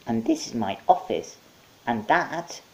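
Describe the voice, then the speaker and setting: A man's voice: medium pitch, sing-song, unhurried, a little mellow, pitched above the performer's own voice, rough recording. A man voicing a genial, chatty monkey who plays the polite office host in a story. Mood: proud, explaining.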